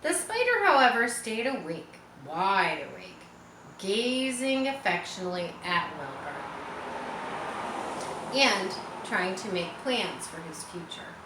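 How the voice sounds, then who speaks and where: A woman reading a children's story aloud, expressive, as if guiding young listeners through it. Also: mid-range voice, slow pace. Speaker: storybook reader. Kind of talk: children's read-aloud narration. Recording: roomy echo, faint background noise.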